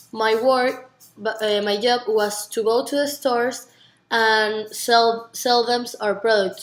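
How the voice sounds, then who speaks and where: Young Venezuelan woman in an echoey room. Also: on the high side. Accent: Venezuelan Spanish. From Margarita, Venezuela. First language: Spanish. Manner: earnest, non-native English phrasing with stumbles on words. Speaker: university student being interviewed. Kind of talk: rehearsed interview self-presentation. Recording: echoey, roomy sound.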